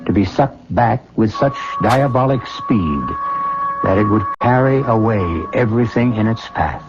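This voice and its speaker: An actor as a grave storyteller, delivering portentous scripted narration for an old-time radio drama. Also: male voice, fairly low pitch, animated, unhurried. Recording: muffled old radio recording, loud music.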